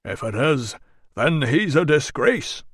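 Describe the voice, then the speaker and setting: A man voicing a dignified middle-aged guild representative with a rich bass voice and a measured, formal manner. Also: sing-song, husky, no echo, clean studio sound, heavily acted.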